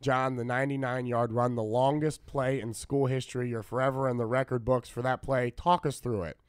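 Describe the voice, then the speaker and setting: A man hosting a college sports radio show, slightly hoarse, asking his guests relaxed questions in a studio. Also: mid-range voice, brisk, no room sound. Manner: excited play-by-play calling, then relaxed questions. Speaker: radio host and play-by-play announcer. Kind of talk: casual radio interview.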